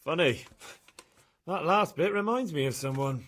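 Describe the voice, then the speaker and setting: An actor playing a direct, questioning young man with a husky voice in a medieval video game. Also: a little high, expressive, a little slow, fairly bright tone. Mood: wry. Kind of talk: dramatic video game dialogue.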